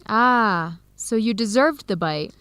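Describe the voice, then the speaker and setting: A woman playing a friendly young American researcher in a radio drama, with an American accent and a lively, expressive manner. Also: medium pitch, slow pace, clean tone, no room sound. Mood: teasing, curious. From United States.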